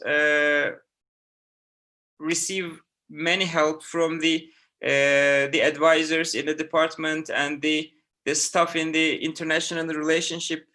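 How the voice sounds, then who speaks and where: Turkish-accented professor, bright halting voice. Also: male voice, on the high side. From Turkey. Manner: halting, many "uh" fillers and restarts. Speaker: university professor. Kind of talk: online info session answering student questions.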